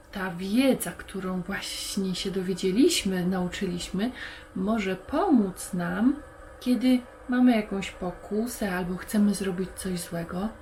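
A woman's voice: medium pitch, a little mellow, slight background noise. A woman hosting a Bible lesson for young children, explaining things simply and putting questions to the listener.

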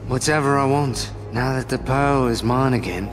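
A man's voice: mid-range voice, normal speed, close to an everyday voice. A man voicing a sly, flippant pirate in a video game, with a slurred, swaggering delivery. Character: sly, flippant, roguish adult male pirate. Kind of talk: video game cutscene acting. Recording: echoey cave reverb, music behind.

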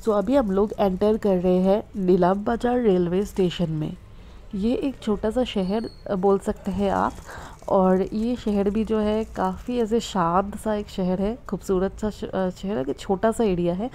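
Woman travel vlogger, mellow tone, phone mic on a train, faint rail noise behind. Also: medium pitch, unhurried. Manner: explanatory, addresses viewers directly. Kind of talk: train journey vlog commentary. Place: moving train by the window.

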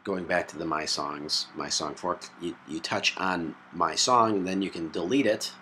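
Low-voiced man giving a halting tutorial. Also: sharp tone. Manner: halting, step-by-step explaining. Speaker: tutorial presenter. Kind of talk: hands-on software tutorial narration. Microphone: built-in laptop microphone. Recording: noisy laptop mic sound.

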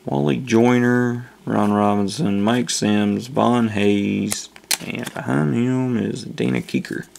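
A man hosting a casual video, slowly reading off names as he opens packs at a table indoors. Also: steady tone, creaky, darkish tone, a little hiss or hum. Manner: slow, low-key listing of names. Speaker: channel host opening card packs. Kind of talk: casual card-opening commentary.

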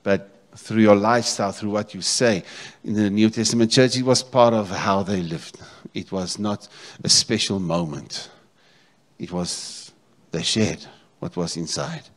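A man's voice: lowish voice, fairly even intonation, a little slow. A middle-aged South African man preaching a sermon as a pastor, repeating key phrases and checking in with his congregation. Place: church stage, indoors. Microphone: handheld microphone.

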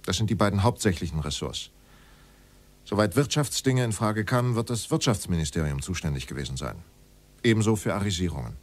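An actor playing a middle-aged former state secretary under questioning in a radio drama, formal, terse and defensive. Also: male voice, low voice, lively intonation, a little fast. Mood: guarded. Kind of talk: documentary radio drama dialogue.

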